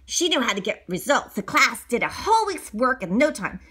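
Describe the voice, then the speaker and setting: A woman reading a children's picture book aloud in a theatrical, animated way, adding little asides and repetitions. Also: fairly high pitch, brisk, breathy edge.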